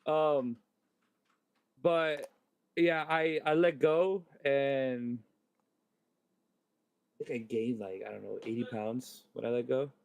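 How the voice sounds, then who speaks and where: A man's voice: medium pitch, fairly even intonation, slow pace, gravelly, long pauses, clear, resonant tone. A man talking casually and candidly as a podcast panelist, a little self-effacing about himself. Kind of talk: casual personal chat.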